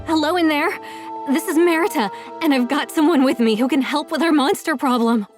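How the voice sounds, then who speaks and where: High-voiced, deferential village woman played by an actress. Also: a little fast, soft background music, lightly acted. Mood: businesslike. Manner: apologetic, hesitant, eager to please. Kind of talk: audio drama acting.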